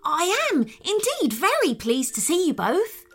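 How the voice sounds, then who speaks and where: A woman's voice: extremely high pitch, huge pitch swings, a little slow, soft background music, pitched above the performer's own voice, exaggerated voice. A woman voicing a proud, chatty male dog who boasts of being a hide and seek champion. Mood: boastful. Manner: boastful, hesitant fillers.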